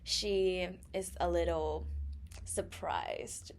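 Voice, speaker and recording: A woman's voice: fairly low pitch, fairly even intonation, very slow. A young woman from Thailand, co-hosting a casual podcast and telling her story with many fillers and self-corrections. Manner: frequent fillers and self-corrections, laughs easily. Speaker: podcast co-host.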